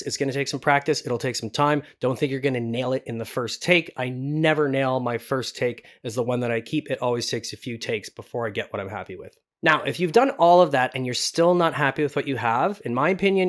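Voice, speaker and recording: A man hosting a tutorial, talking casually and explaining things step by step in an informal way. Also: mid-range voice. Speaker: voice acting tutorial host.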